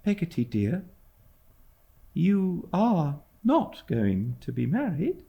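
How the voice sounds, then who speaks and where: A man voicing a gentle, fragile young mother who speaks softly and anxiously. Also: a little high, wildly swooping pitch, slow pace, vocal fry, husky, muffled recording, pitched above the performer's own voice, full character voice. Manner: soft, pleading, fluttery.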